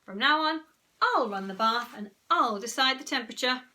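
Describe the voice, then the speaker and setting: A woman doing a brisk storybook mum. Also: high-pitched, expressive, pushed and bright, pitched above the performer's own voice, exaggerated voice. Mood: firm. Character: brisk, firm mother of two boys.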